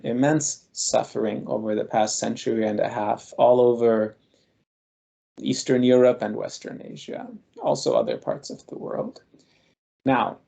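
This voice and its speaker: Thoughtful male scholar with a gravelly voice. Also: mid-range voice, dark tone. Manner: measured, thoughtful, lecturing. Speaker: guest scholar. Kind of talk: explanatory interview talk.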